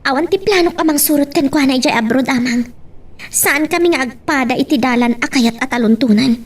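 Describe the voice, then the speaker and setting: A woman's voice: sits high, a little fast, close to an everyday voice. A woman playing an emotional, strong-willed woman in an Ilocano family love drama. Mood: pleading. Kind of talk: Ilocano audio drama acting. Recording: faint background noise.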